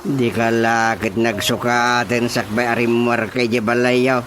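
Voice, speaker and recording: A woman's voice: very deep voice, level tone, measured pace, fairly bright tone, soft background music. An actress playing a village chairman in a radio comedy drama, exclaiming and incredulous.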